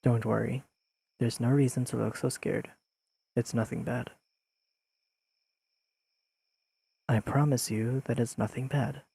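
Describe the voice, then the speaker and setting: A man playing a deadpan, pedantic but secretly fond young friend in an intimate audio roleplay, speaking in precise, dryly teasing sentences to the listener. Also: medium pitch, moderate pace, vocal fry, breathy edge, dry recording. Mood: gentle reassurance. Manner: deadpan, precise, formal wording with dry teasing.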